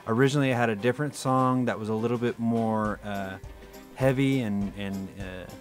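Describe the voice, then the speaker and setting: A man's voice: mid-range voice, fairly even intonation, normal speed. A man, an audio editor, giving casual, rambling behind-the-scenes commentary in an informal way. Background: music.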